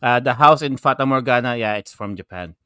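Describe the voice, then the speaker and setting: A man's voice: mid-range voice, fairly quick, pushed and bright, clean tone, no room sound, clean studio sound. A man co-hosting an online show with casual, jokey banter, often repeating his phrases. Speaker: podcast co-host. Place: online live stream call.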